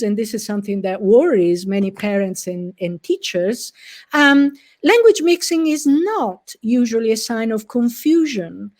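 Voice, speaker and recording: A middle-aged woman, presenting research in a measured, explanatory way with frequent fillers and restarts. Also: a little high, a little mellow. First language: Italian. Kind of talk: recorded conference presentation.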